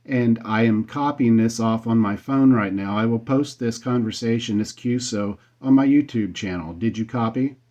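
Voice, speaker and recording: A man making an amateur radio contact, speaking deliberately and spelling things out letter by letter and number by number. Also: medium pitch, a little mellow. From United States. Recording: slightly dull, band-limited sound.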